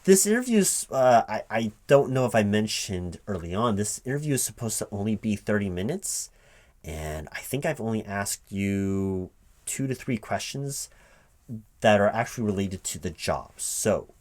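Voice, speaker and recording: A man playing a recruiting interviewer in a one-sided spoken ASMR roleplay, halting and repeating his words in a baffled, exasperated way. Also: lowish voice, big pitch swings, darkish tone. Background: computer keyboard typing.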